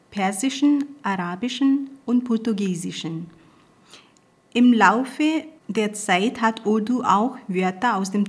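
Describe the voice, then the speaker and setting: Woman presenting her language. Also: medium pitch. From Punjab, Pakistan. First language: Punjabi. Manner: careful, measured delivery with small grammar slips. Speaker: guest presenter. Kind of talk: reading a prepared talk on her language.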